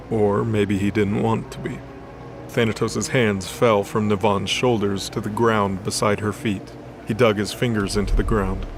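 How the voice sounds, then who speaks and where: Male narrator, lowish voice, scripted dramatic storytelling, clear music bed. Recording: voice over background music.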